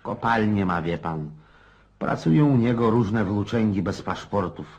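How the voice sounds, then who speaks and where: Husky, rambling old Cossack. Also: male voice, lowish voice, a little slow, a little mellow, lo-fi sound. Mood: musing, prodding. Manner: rambling, folksy, prodding listener with questions. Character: garrulous old Cossack, cynical rustic storyteller. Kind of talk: radio play acting.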